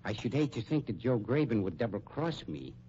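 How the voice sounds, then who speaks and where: A man playing a suave, politely sinister funeral director in a 1950s radio crime drama, speaking in formal, measured phrases. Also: medium pitch, big pitch swings, warm tone, natural voice. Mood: dry, threatening. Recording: muffled, noisy 1950s radio recording.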